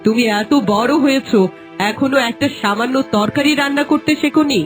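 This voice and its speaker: A woman's voice: sits high, a little fast, faint music behind, natural voice. A woman voicing a demanding older queen mother-in-law in a fairy-tale cartoon, imperious and exacting. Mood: disgusted, scolding.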